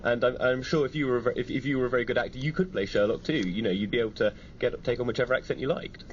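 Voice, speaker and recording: A quick, articulate man giving firm, dryly witty opinions as a radio guest. He talks at a brisk clip in a mid-range voice. The sound is dull and muffled, with a light hiss under it. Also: medium pitch. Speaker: magazine editor, studio guest. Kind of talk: radio discussion. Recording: dull broadcast audio with faint hiss.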